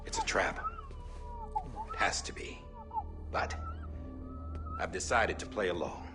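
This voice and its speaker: An actor playing a masked male director who gives a secretive recorded message in a hushed, confiding way. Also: extremely high pitch, huge pitch swings, takes it slowly, over music, full character voice. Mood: grave. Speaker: director of an organization.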